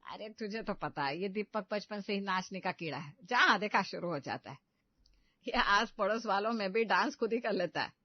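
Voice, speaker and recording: A woman playing the groom's middle-aged mother in an animated comedy story, exasperated, nagging and full of scheming instructions. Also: mid-range voice, moderate pace, no echo, close to an everyday voice. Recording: dull, lo-fi studio voice-over.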